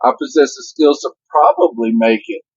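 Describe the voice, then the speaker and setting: A man's voice: on the high side, wildly swooping pitch, moderate pace, darkish tone, breathy edge, dry recording, dull audio. An older man who drives a truck, chatting casually and giving matter-of-fact safety advice. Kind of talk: casual vlog chat.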